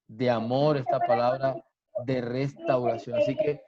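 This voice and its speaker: A man's voice: mid-range voice, little pitch movement, unhurried, a loud crowd. Pastor guy, fervent, keeps repeating blessings, mid voice, muffled stream audio. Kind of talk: preaching and greeting viewers online. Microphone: video call.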